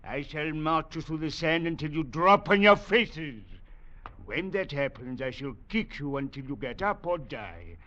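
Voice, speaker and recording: An actor playing a sadistic, sneering sergeant who threatens and barks orders in a 1940s radio drama. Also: male voice, a little high, animated, unhurried, bright, forceful tone. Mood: sneering menace. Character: brutal, sneering Foreign Legion sergeant, sadistic and menacing. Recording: muffled 1940s radio recording.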